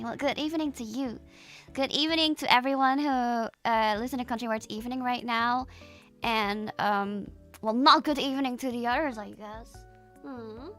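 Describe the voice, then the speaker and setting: Bright high-voiced woman streamer. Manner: chatty, laughs often, swears casually. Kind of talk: casual livestream chat with viewers.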